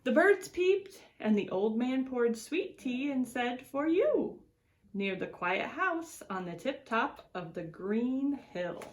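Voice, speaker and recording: Slow, very expressive woman reading to children. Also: fairly high pitch. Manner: deliberate, expressive storytelling with drawn-out words and swoops. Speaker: extension educator reading aloud to schoolchildren. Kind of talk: picture book read-aloud for children.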